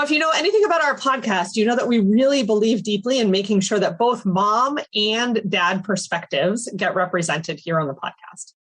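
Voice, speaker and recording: Lady podcast host, chatty and upbeat, talking thru a bad cold. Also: mid-range voice. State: has a cold. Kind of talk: casual podcast hosting.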